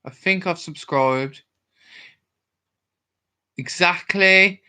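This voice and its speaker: A young man with a West Midlands twang rambles through a livestream while drunk. He answers viewers' comments aloud in loose, chatty bursts. His voice is bright and forceful. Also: high-pitched, drawn-out words, clear, resonant tone. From Worcestershire, England. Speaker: livestream host. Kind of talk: casual livestream chat with viewers.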